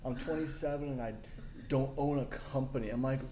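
A man in his late twenties with a mellow, dark-toned voice. He chats casually on a podcast, upbeat, joking and reassuring. The room is echoey, with a faint hiss behind him. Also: medium pitch, steady tone, a little fast. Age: late 20s. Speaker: podcast co-host. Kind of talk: casual chat.

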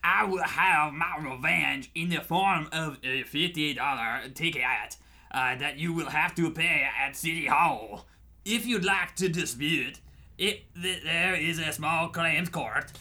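A man's voice: a little high, takes it slowly, pushed and bright, heavily acted. A man playing a pompous, vengeful French meter-man villain with theatrical delivery in a comic radio play. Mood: gloating menace. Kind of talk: comic parody radio drama.

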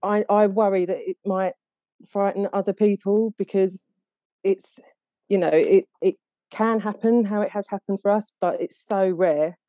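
A woman with an Essex English accent talking casually and a little haltingly as an interview guest. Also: mid-range voice, fairly even intonation, warm tone, dry recording, highs cut off. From Essex, England. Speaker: podcast guest, charity founder.

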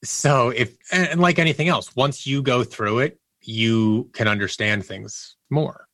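A man's voice: medium pitch, a little slow, sharp tone, no room sound. A man speaking as an interview guest, conversational, earnest and reflective as he explains things. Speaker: interview guest, audio drama producer.

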